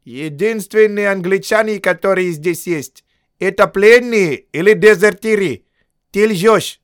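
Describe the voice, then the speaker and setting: A man doing a stern, slow-spoken palace officer. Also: high-pitched, clear, resonant tone, pitched above the performer's own voice. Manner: slow, clipped, commanding.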